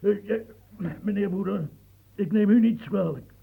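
A man's voice: sits high, moderate pace, gravelly, breathy edge, narrow, tinny audio, exaggerated voice. A man playing an aging, embittered French marshal in a radio play, stammering and repeating himself when agitated. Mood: irritable.

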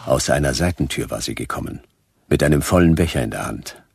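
Noir private eye, radio play: rock-bottom pitch, creaky, terse, deadpan. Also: male voice, a little fast, no room sound, studio quality, exaggerated voice. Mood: terse, watchful. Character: laconic, hard-boiled male private detective. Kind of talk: noir radio drama narration and dialogue.

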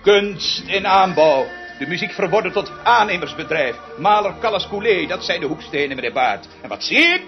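A man's voice: high voice, sing-song, moderate pace. A man in a radio drama playing a pompous, obsessive music director who declaims grandly and repeats words for emphasis. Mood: pompous, indignant. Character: pompous, obsessive, theatrical male music director, haunted by lost half-sister. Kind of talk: theatrical radio drama acting. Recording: dull lo-fi radio recording with music.

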